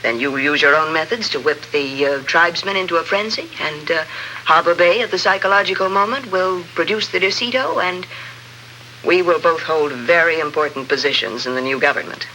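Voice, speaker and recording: An old, faintly noisy radio drama recording carries a guarded woman archaeologist. Her voice is very bright and forward, and she speaks in a composed, formal, businesslike way. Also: low-pitched, brisk. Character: guarded, businesslike female archaeologist plotting a desert revolt. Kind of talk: 1950s radio drama acting. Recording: vintage 1950s radio recording, faint noise.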